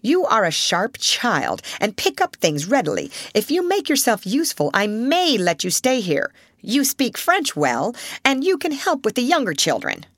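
Domineering storybook headmistress, loud and sharp, clipped scolding, read by a woman. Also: a little high, wildly swooping pitch, moderate pace, few pauses, no room sound, clean studio sound, pitched above the performer's own voice, heavily acted. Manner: harsh, scolding, cold. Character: stern, domineering middle-aged headmistress.

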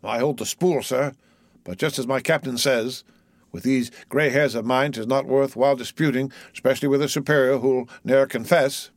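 A man voicing a gruff, wry old grey-haired sailor in an audiobook, muttering and grumbling. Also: mid-range voice, steady tone, moderate pace, no echo, studio quality, natural voice. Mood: wry deference.

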